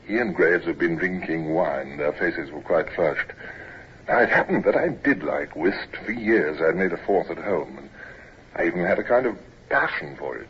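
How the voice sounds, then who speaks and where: An actor playing a naval officer who narrates his miserable youth in a measured, reflective way, in a 1950s radio drama. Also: low voice, lively intonation. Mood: grave, reflective. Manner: measured, reflective, grave storytelling. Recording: muffled, noisy vintage radio recording.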